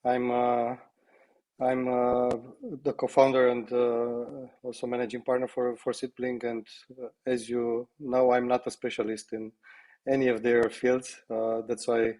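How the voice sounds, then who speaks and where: A slightly hoarse man speaking on a video call as a company co-founder, opening a webinar presentation in a halting, modest way. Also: mid-range voice, monotone, warm tone. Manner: halting, self-deprecating.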